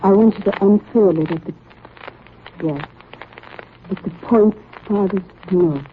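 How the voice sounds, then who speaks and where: An actress playing a captain's devoted wife in a 1930s radio drama, speaking in a deliberate, sentimental way. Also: female voice, medium pitch, natural voice. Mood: tender, wistful. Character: devoted captain's wife bidding tender, composed farewell. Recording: tinny, noisy 1930s radio recording.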